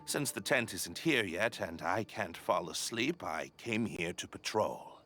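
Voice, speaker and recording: A man's voice: sits low, lively intonation, unhurried, sharp tone, soft background music, heavily acted. A man playing a shifty, irritable, falsely polite expedition boss in a video game, with a nervous, evasive manner.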